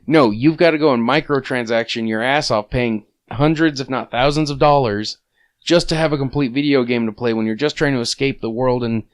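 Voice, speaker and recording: A man's voice: mid-range voice, brisk. A man co-hosting a casual gaming podcast, grumbling and ranting with profanity. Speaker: podcast co-host.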